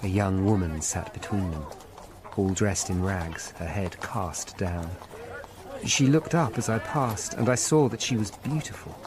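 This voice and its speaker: Deep-voiced sombre Tudor narrator. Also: male voice, crowd noise. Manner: measured, literary. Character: sombre, watchful Tudor investigator narrating his memories. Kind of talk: scripted first-person drama narration. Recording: clear background noise and ambience.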